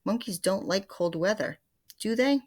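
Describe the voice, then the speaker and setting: A woman voicing a kindly, knowing storybook bird who talks in a gentle way. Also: mid-range voice, expressive, a little slow.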